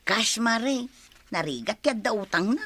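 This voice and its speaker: Woman acting a high-voiced boastful village neighbour. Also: expressive, unhurried, fairly bright tone. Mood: showy. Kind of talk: comedy radio drama acting.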